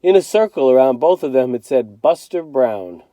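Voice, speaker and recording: Mellow man narrating a children's novel. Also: medium pitch, lively intonation, brisk, no room sound. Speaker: teacher reading to students. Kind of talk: expressive storybook narration.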